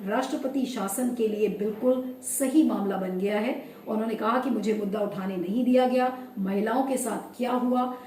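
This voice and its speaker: Female news presenter, soft-edged mellow voice, explanatory commentary, recorded roomy and a little distant from the mic. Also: on the high side, normal speed, few pauses. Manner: mixes in English words. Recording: roomy, distant mic, faint background noise.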